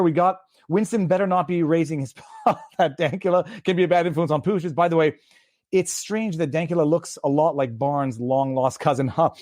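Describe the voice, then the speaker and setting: A man from Quebec hosts a livestream interview, talking in a chatty, rambling way and stacking up questions. His voice sits fairly high for a man. The sound is dry and close, with no room in it. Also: a little mellow, dry recording. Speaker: host.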